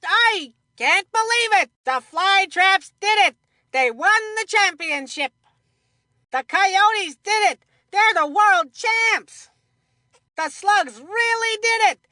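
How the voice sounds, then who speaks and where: A high-pitched, theatrical baseball commentator from a kids' cartoon video game. The voice is bright and forceful, with a folksy, old-fashioned manner. Words come slowly, stretched out for emphasis, in a dry close recording. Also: dry recording, full character voice. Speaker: sports commentator.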